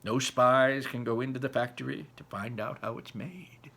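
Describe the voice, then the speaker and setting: A man voicing an excitable elderly grandfather telling a mysterious storybook tale, dramatic and dropping to hushed tones for the mystery. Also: mid-range voice, moderate pace, vocal fry, slight background noise. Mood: excited. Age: older.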